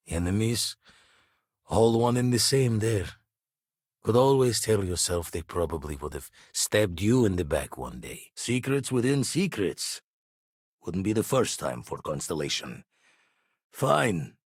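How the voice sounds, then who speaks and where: A gruff veteran spacefarer from a video game, once a pirate. The voice is husky and on the low side, swinging expressively through measured, wry, slang-heavy lines. It sounds close and dry. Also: male voice, dry recording, exaggerated voice. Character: seasoned, gruff male space explorer, ex-pirate mentor. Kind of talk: scripted game character dialogue.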